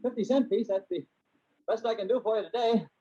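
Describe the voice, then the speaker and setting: A man's voice: sits high, a little fast, mellow, natural voice. A man playing a flustered, hesitant, mild-mannered fellow in a 1940s radio comedy-drama style. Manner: hesitant, fussy. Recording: muffled old 1940s radio recording.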